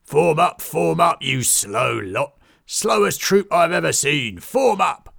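A man's voice: mid-range voice, expressive, moderate pace, vocal fry, pushed and bright, no echo, studio quality, pitched above the performer's own voice, heavily acted. A man voicing a bossy male circus ringmaster who barks orders at his troupe in a storybook reading. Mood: commanding. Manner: barking commands.

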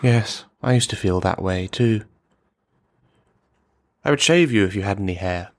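A man's voice: lowish voice, expressive, unhurried. A man playing an unhinged, paranoid loner who rambles on, taunting and insulting whoever is in the room, in an audio drama. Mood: rambling. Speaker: radio play performer. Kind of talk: radio drama monologue.

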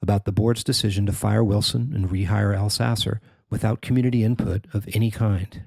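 Male reporter, scripted narration, husky and creaky, close dry sound. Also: on the low side, steady tone, a little fast, darkish tone, no room sound. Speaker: reporter narrating a news story.

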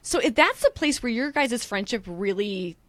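A woman's voice: on the high side, big pitch swings, normal speed, dry recording. A woman hosting a podcast, asking questions in a conversational way. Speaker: podcast host. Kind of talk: interview host.

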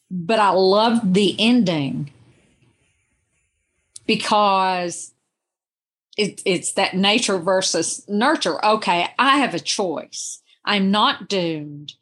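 A woman talking as a guest in a literary discussion, in a thoughtful, reflective and deliberate manner. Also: mid-range voice, animated, a bit bright.

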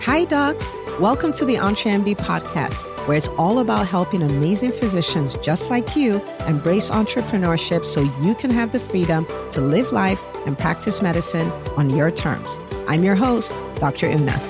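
A woman's voice: medium pitch, expressive, normal speed, hardly any pauses, music in the background. A woman hosting a podcast in an upbeat, promotional style, speaking emphatically and directly to listeners. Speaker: podcast host.

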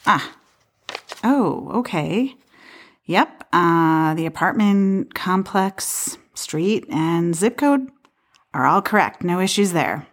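An actress playing a skeptical but helpful apartment dweller in an audio play, speaking in a hesitant, conversational way. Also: female voice, fairly low pitch, animated, takes it slowly, full character voice.

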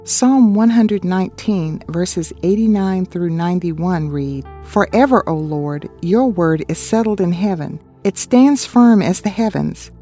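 A woman co-hosting a radio ministry, reading a scripted Bible study in a measured way and citing chapter and verse. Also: lowish voice, expressive, warm tone, soft background music.

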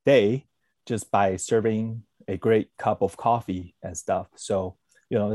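Man, low voice, hesitant, lots of ums and restarts, dry close sound. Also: warm tone, no echo. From born in Taiwan, raised in Canada. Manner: hesitant, many fillers and restarts. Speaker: podcast guest, food company executive. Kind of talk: casual interview answers.

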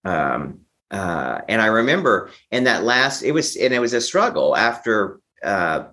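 A man giving a personal account as an interview guest, speaking haltingly, often repeating and restarting his phrases. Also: lowish voice, sing-song, bright, forceful tone. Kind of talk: personal recovery storytelling.

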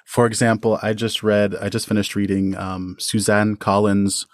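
Articulate podcast guest around thirty, lowish pitch. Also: flat delivery, normal speed, dry recording, clean studio sound. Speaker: interview guest, audio drama podcast creator. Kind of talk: thoughtful conversational interview answers.

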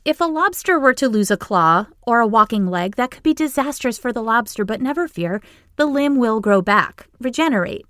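A woman's voice: fairly high pitch, expressive, normal speed, a bit bright, no room sound, clean studio sound. A woman from Maine with a Maine accent, hosting a podcast in scripted, playful narration with jokey asides. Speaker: podcast host.

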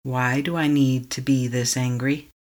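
Calm, slow meditation guide. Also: medium pitch. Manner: calm, slow, repeating affirmations to be echoed back.

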